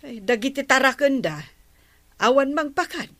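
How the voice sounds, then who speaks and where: A woman's voice: high-pitched, animated, moderate pace, a bit bright, no echo. A woman playing a caring village housewife who frets and nags, in an Ilocano radio comedy drama, with an Ilocano accent. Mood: concerned. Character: caring village housewife fretting over animals.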